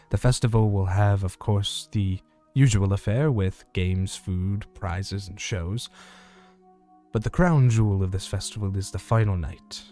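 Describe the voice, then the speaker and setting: A man playing a small-town radio host in an audio drama, reporting eerie fantasy news in a measured, formal announcer manner. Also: on the low side, dark tone, lightly acted. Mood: informative. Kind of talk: scripted community radio news narration in audio fiction.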